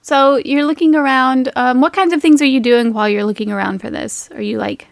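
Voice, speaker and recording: A woman's voice: sits high, a little fast, no room sound, studio quality. A woman game master narrating a tabletop roleplaying game in a casual, giggly, playful and conversational way.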